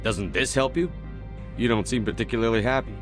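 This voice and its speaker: Man acting a brisk, direct space commander. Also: medium pitch, music in the background, lightly acted. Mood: matter-of-fact. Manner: direct, matter-of-fact. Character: direct, determined male military commander and special agent. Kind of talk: video game dialogue acting.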